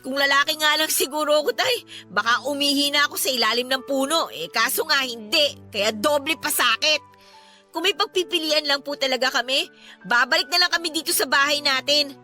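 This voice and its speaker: A poor teenage daughter in a radio drama. Her voice is very high and bright, pleading and full of questions, yet respectful. Soft music sits underneath. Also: a little fast. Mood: frustrated, weary. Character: distressed teenage daughter of a poor flooded family. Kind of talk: Tagalog radio drama acting.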